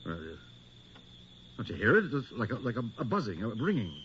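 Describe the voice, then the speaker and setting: A man's voice: medium pitch, sing-song, fairly quick, a bit bright. An actor playing a weary, determined middle-aged policeman in a radio horror drama, with a terse and intense delivery. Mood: anxious, sharp. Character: weary, determined policeman tormented by an evil force. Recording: muffled radio drama with background noise.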